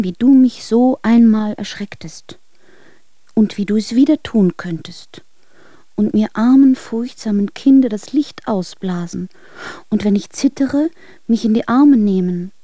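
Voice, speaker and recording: Actress as a lovesick, clinging young wife, mellow voice. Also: female voice, mid-range voice, no echo, lightly acted. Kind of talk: radio drama reading of love letters.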